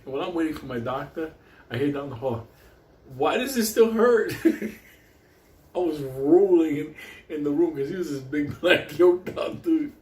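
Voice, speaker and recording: A man's voice: on the high side, lively intonation, measured pace, long pauses, darkish tone, echoey room, poor audio. A man from Puerto Rico whose first language is Spanish, telling profane, rambling stories and laughing often as host of his own show. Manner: rowdy, laughs often, swears constantly. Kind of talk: casual profane storytelling monologue.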